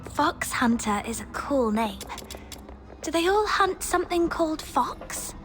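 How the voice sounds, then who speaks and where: High-voiced earnest young adventurer. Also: female voice, very animated, measured pace, exaggerated voice. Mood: curious. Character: curious, caring young female adventurer. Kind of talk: video game character acting. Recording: loud music over the voice.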